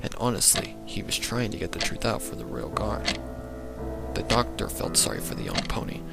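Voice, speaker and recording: A man's voice: on the low side, huge pitch swings, normal speed, gravelly. A man reading a scripted dramatic story as its narrator. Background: loud background music.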